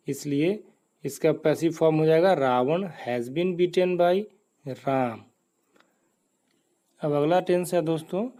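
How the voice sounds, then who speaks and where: A man's voice: a little high, mellow. A man with an Indian accent teaching grammar step by step in a methodical, explanatory manner. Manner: methodical, explanatory, poses questions and answers them. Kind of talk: step-by-step lesson in Hindi with English examples.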